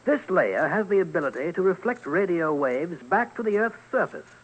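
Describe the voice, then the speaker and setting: An actor playing an earnest scientist in a radio documentary, explaining things emphatically. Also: male voice, sits high, very animated, moderate pace, slightly rough, highs cut off, full character voice. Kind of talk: old-time radio documentary acting.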